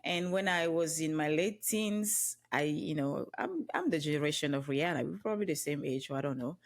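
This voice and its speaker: A woman's voice: low-pitched, expressive, fairly quick, vocal fry. A woman in her thirties from Cameroon with a Cameroonian accent, telling her life story as a podcast guest in an earnest, reflective way with touches of humor. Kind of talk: podcast interview.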